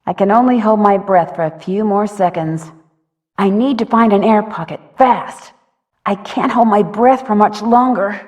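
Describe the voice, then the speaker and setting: A woman's voice: medium pitch, normal speed, darkish tone, no room sound, close to an everyday voice. An actress playing a plucky, curious young American woman detective who thinks aloud in a wry, scripted adventure game style. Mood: worried. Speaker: video game voice actor.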